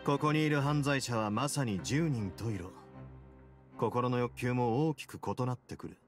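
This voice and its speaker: A man playing a shrewd, self-assured prison-fortress duke in a game, speaking casually and with measured assurance. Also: mid-range voice, faint music behind, lightly acted. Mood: assured, reflective. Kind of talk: game dialogue acting.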